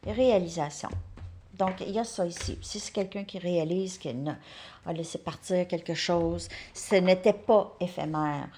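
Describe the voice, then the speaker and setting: A woman's voice: on the low side, moderate pace, slight background noise. Chatty woman reading tarot cards. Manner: chatty, thinks aloud, repeats words, drops into soft asides. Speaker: tarot card reader. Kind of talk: casual live card reading.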